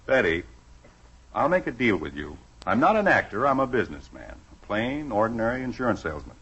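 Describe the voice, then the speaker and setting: A man's voice: on the low side, big pitch swings, fairly quick, a bit bright, breathy edge, heavily acted. An actor playing an exasperated, hammy middle-aged suburban father with an American accent in 1950s radio sitcom style. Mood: earnest, solemn. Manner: theatrical, emphatic. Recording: muffled vintage radio recording.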